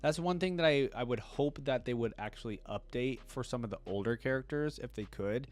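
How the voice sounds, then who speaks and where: A man's voice: medium pitch, brisk. A man hosting a reaction video, giving casual commentary in an informal, musing way.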